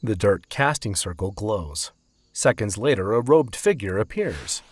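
A man's voice: on the low side, animated, unhurried, a little hiss or hum. A man reading scripted narration for a fantasy audio drama.

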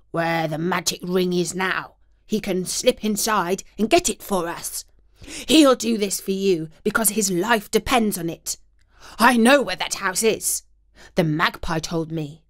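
A woman voicing a scruffy, clever male mutt dog in a storybook reading, speaking loudly and eagerly. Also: mid-range voice, unhurried, natural voice.

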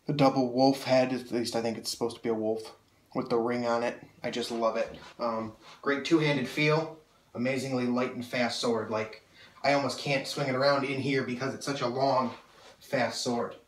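A man's voice: mid-range voice, fairly even intonation, moderate pace, distant mic, a little hiss or hum. A young man talks at the camera in a small room, his voice a little nasal as he gets over a cold. He rambles eagerly with plenty of fillers and restarts. The mic sits a few feet away and catches a roomy echo off the walls. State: slightly nasal, coming off a cold. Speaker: sword collector reviewing blades. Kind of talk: casual video review. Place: small room full of swords, indoors. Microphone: camera mic from several feet away.

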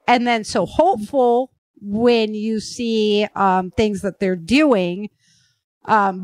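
A woman hosting a podcast, chatting informally and a little haltingly, with emphasis on key words. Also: medium pitch, dry recording. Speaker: podcast host and author. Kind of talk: casual podcast chat.

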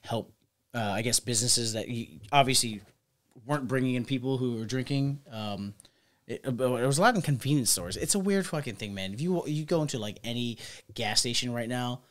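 A man's voice: medium pitch, a little fast. A man in his thirties co-hosting a casual bar-industry podcast, telling loose, profane stories in a small studio on a stand mic. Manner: loose, profane, animated storytelling. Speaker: podcast co-host. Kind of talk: casual chat. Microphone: studio mic on stand, headphones.

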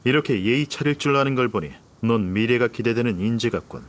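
A man's voice: medium pitch, brisk, natural voice. A male actor playing a haughty, condescending nobleman in a video game dub, speaking in a pompous, formal aristocratic way. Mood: smug, approving. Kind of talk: dubbed video game dialogue.

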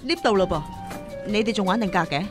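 Woman acting a composed, teasing teacher, mid-pitched. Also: fast talker, over music, lightly acted. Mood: agreeable, breezy. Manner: teasing, assured. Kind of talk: Cantonese radio drama acting.

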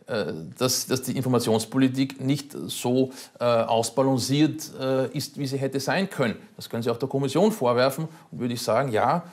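A man, an economist, answering interview questions in a measured, hesitant way with fillers. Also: mid-range voice.

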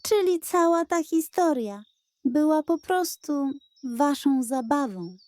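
Woman as an earnest male pig detective, raised pitch. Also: animated, slow pace, darkish tone. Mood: amazed. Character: earnest male piglet detective, kindly but firm.

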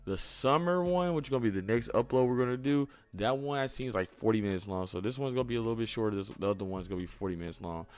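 A man's voice: mid-range voice, quick pace, hardly any pauses, darkish tone. A young man hosting a reaction video, talking casually and offhand as he comments on what he is watching. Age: young adult.

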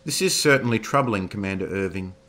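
A man's voice: animated, a little fast, fairly bright tone. A man voicing a stern general presiding over a military hearing. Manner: booming, authoritative.

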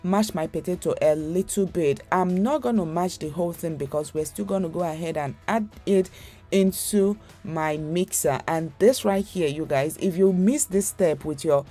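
Chatty woman with a mellow, low voice. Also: moderate pace, faint music behind. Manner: chatty, rambling, many fillers and restarts. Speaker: cooking channel host. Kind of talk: casual recipe voice-over.

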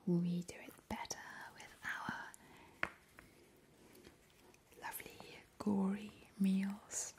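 A gleeful ghoulish vampire woman, played by a woman in an ASMR roleplay. She whispers at an unhurried pace, relishing and repeating soothing words with little rise or fall in pitch. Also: flat delivery, darkish tone. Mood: doting, ghoulish. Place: indoor kitchen counter.

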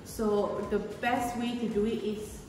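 A woman's voice: mid-range voice, fairly even intonation, slow pace, recorded from a distance, background noise. A woman from Malaysia talks her way through a house renovation tour, explaining as she goes with plenty of "um"s. Her voice rings around bare, empty rooms with a long tail, and she sits a little away from the camera. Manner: chatty and explanatory, with frequent "um" and gesturing. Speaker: home renovation vlogger. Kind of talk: casual house tour walkthrough. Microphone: handheld camera at a few feet. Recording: echoey empty rooms, voice a bit off-mic.

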